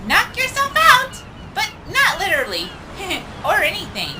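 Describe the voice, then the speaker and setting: Very high, excitable cartoon pony. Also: female voice, full character voice. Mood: cheerful. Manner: theatrical, emphatic, drawn-out words. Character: excitable, quirky young female cartoon pony, dramatic storyteller. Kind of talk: cartoon voice acting in a comic read-along. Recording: clearly noisy background.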